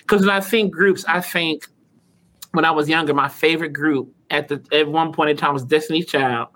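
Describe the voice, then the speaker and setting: A male vocal coach, a guest on a talk show, explaining singing in a relaxed, rambling way with lots of "you know", in his naturally high voice. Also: moderate pace. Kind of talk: casual interview chat.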